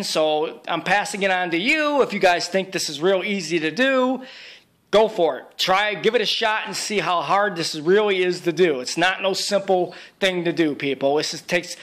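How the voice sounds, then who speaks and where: A man's voice: high voice, a bit bright. A man narrating an informal repair tutorial as a technician, speaking in an emphatic, boastful way.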